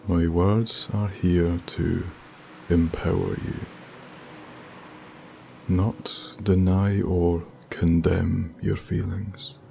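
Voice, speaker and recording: A man with a low, mellow, hushed voice guides a meditation in ASMR style. He speaks extremely slowly and soothingly, leaving long pauses between short phrases. His soft delivery is unhurried and deliberate throughout. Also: level tone, creaky, a little hiss or hum. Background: music with binaural beats.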